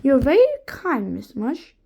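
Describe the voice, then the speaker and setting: A woman's voice: high-pitched, huge pitch swings, measured pace, vocal fry, dark tone, heavily acted. A woman voicing a sly, mean male schoolteacher who gloats and mocks. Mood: falsely polite. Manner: gloating, mocking.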